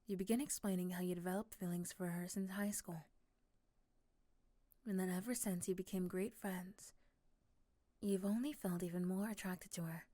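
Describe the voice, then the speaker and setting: Soft-spoken woman narrator, very dry sound. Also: on the low side, fairly even intonation, normal speed, long pauses, no room sound. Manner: soft, often dropping to a whisper. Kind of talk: scripted second-person narration, ASMR romance.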